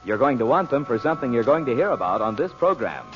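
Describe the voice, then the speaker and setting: A man's voice: medium pitch, big pitch swings, fast. A man announcing and narrating in a scripted 1940s radio style. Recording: muffled old radio recording with music.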